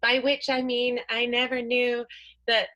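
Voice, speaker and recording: A woman's voice: a little high, little pitch movement, unhurried, sharp tone, no echo, lo-fi sound. A woman playing a glamorous, refined movie star in an improvised comic radio soap, gushing. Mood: delighted. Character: glamorous, classy movie star slumming in vaudeville.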